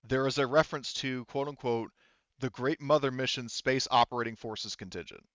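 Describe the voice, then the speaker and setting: A man acting as game master, narrating a tabletop roleplaying game in a casual, halting way as he pieces descriptions together. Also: medium pitch, fairly bright tone, no room sound, dull audio.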